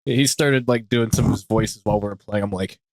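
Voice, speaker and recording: A man's voice: on the low side, fast talker, a little mellow, dry recording. A man chatting casually as a guest on a podcast. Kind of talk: casual chat.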